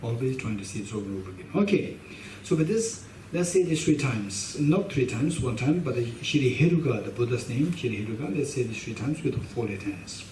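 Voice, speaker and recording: A man's voice: mid-range voice, expressive, a little fast, mellow. A middle-aged man with a Tibetan accent, a Buddhist teacher leading a class, speaking emphatically and often repeating and restarting phrases. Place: meditation hall with shrine, indoors. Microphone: stand mic in front of him. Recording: roomy hall sound, mic a bit distant, faint hiss.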